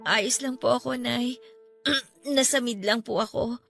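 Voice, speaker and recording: A woman's voice: fairly high pitch, steady tone, measured pace, gravelly, fairly bright tone, soft background music. A woman playing a meek, frightened young pregnant mistress in a Filipino radio drama, apologetic and pleading. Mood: subdued, hiding tears.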